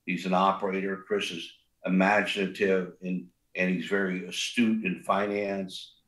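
A man's voice: sits low, little pitch movement, moderate pace, fairly bright tone, echoey room. An older man, a restaurant co-founder, talks in a rambling way with many fillers and restarts and a big voice. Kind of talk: casual interview talk.

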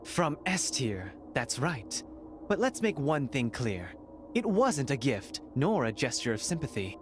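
An actor playing an arrogant, mocking noble heir in a video game, with theatrical, smug sarcasm. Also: male voice, medium pitch, sing-song, measured pace, background noise. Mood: gloating.

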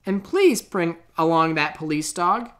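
Eager school caller, man putting it on, storybook style, raised pitch, animated requesting. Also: measured pace. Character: eager school staff calling by telephone.

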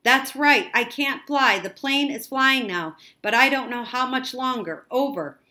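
A woman voicing a terrified young boy alone in a plane in an audiobook story, urgent and pleading, with a loud, strained voice. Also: high-pitched, measured pace, voice pushed up. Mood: desperate. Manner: urgent, repeating himself, breaking off mid-sentence.

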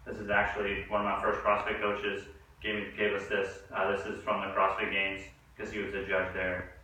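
A man's voice: fairly low pitch, moderate pace. Young man in an echoey garage. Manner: relaxed, many ums and uhs. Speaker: home gym vlogger. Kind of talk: casual walkthrough tour. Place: two-car home garage gym, indoors. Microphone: camera mic from a few meters away. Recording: echoey garage, distant from mic.

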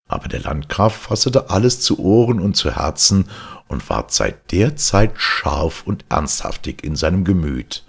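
A man reading old legends aloud in a slow, deliberate, solemn storytelling manner. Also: low-pitched, gravelly, slightly rough. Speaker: reader of legends.

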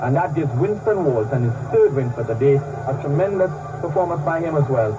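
A man calling a horse race in rapid, clipped commentary. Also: on the high side, animated, far from the mic, noisy background. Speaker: race caller.

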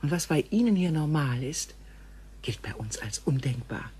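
A woman playing a grave, gentle female alien visitor in a science fiction radio play, speaking in formal, measured phrases. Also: sits low, expressive, slight background noise. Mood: sorrowful, solemn.

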